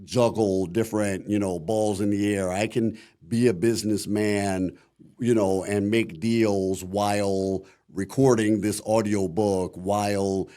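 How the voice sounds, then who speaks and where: A middle-aged man with a deep voice and a Midwestern American accent, chatting reflectively as a voice actor guest in an interview. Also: steady tone, measured pace, mellow. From Akron, Ohio, USA. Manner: rambling, many "you know" fillers, reflective. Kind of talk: casual interview talk.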